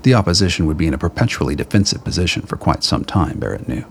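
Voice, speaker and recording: A man's voice: low-pitched, sing-song, quick pace, gravelly, slight background noise. A man narrating a scripted radio play in a storytelling style, as the dramatic narrator.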